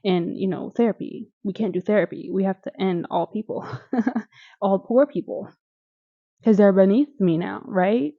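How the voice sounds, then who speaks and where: A young woman with a Midwestern American accent, chatting casually and animatedly as she recaps a TV series for her viewers, with quick asides and laughs. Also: mid-range voice, no echo, narrow, tinny audio. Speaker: video reviewer.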